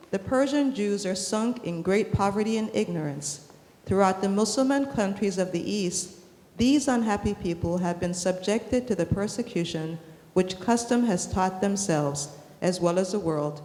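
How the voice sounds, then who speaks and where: A woman's voice: mid-range voice, normal speed, dark tone. A woman reading aloud from a formal historical book as a participant in a class. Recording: echoey hall, long reverb.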